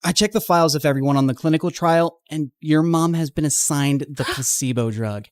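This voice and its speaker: Woman voicing low-voiced, earnest teenage boy. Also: brisk, darkish tone, no echo, clean studio sound, natural voice. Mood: guilty. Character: earnest, anxious, devoted teenage boy, tech-savvy friend. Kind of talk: animated story dialogue.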